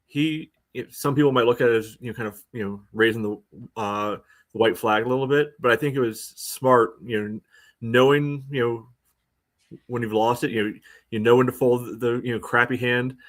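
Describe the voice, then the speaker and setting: A man co-hosting a sports podcast, analysing in a casual, halting way full of verbal tics. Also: mid-range voice, a bit bright. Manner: halting, peppers speech with "you know".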